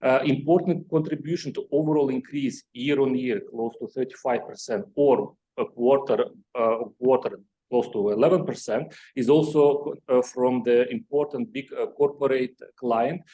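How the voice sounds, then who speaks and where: Mellow-voiced man explaining financial results. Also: mid-range voice, fairly even intonation, moderate pace, hardly any pauses, poor audio. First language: not English. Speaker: company executive presenter. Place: conference call.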